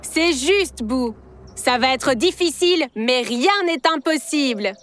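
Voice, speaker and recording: A woman's voice: high voice, lively intonation, takes it slowly, background noise. A woman playing an earnest, resourceful young village girl in a cartoon, with an encouraging manner. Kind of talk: cartoon dub acting. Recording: music under the voice.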